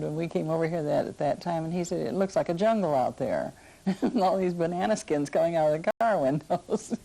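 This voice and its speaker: A woman's voice: fairly low pitch, creaky, dark tone, poor audio. An older woman casually reminiscing as an interviewee, telling stories in a conversational way. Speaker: interviewee recalling local history.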